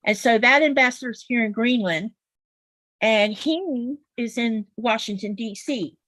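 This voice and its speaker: A woman's voice: a little high, unhurried, fairly bright tone. A woman with a Southern US accent, chatting casually on a livestream in an explanatory, folksy way. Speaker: quilter guest on a livestream.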